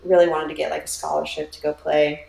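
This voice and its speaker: Young woman, hesitant casual guest, a bit low, roomy echo. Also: level tone, fast talker, gravelly, mellow. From Minnesota, raised in Virginia. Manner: hesitant, many fillers. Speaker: guest, golfer. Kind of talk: casual podcast chat.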